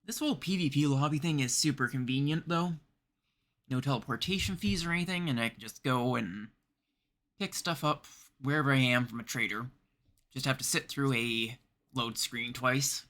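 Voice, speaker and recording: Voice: mid-range voice, moderate pace, a bit bright. A streamer from Canada giving casual live video game commentary, chatting and thinking aloud in short remarks.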